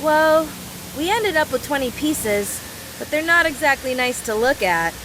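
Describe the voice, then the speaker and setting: A woman's voice: high-pitched, big pitch swings, measured pace, noisy background. A woman voice-acting a brash, mischievous young woman fighter from a video game, teasing in a playful, folksy, mock-serious way.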